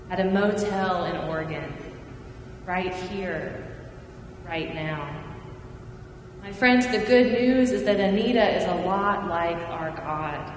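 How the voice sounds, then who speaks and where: A middle-aged woman preaching a sermon from a church pulpit, earnest and measured, her phrases falling at the ends. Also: lowish voice, slight background noise. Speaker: pastor. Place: large church sanctuary. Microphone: pulpit mic, far camera. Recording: echoey church from far off.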